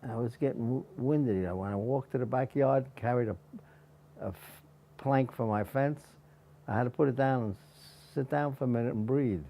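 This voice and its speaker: An elderly man in his nineties recounts his story in a plain, halting manner for an interview. His voice is gravelly, with a mellow, dark tone at an ordinary pitch. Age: 90s. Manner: plain, halting, with restarts and small laughs. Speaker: interviewee, patient.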